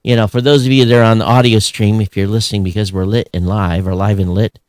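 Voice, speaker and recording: A man's voice: fairly low pitch, steady tone, fast, darkish tone, dry recording, clean studio sound. An American man chatting casually and unscripted as a podcast co-host, in a rambling, conversational way. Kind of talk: casual unscripted show chat.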